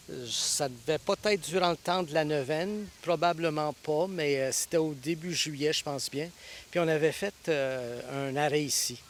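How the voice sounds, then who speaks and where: A priest in his sixties from Ontario answers questions at an easy, reflective pace, often pausing with "euh" and restarting phrases. His voice sits fairly high for a man. A faint hiss runs under the recording. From Ontario, Canada. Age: 60s. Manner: thoughtful, with frequent hesitations and restarts. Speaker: priest and guest preacher. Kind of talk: interview answers.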